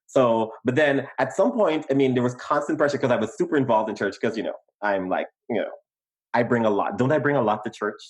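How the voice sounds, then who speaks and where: A young adult man from Guyana with a Guyanese accent, telling his life story in casual podcast chat, animated and quick to laugh. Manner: animated, laughs easily, emphatic pitch jumps. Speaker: podcast co-host.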